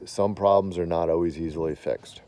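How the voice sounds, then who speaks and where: A man's voice: low-pitched, steady tone, normal speed, mellow. A man, a landscaping contractor, giving a plainspoken, folksy walk-through explanation outdoors in a wooded backyard, filming himself on a phone. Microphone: phone camera held by speaker.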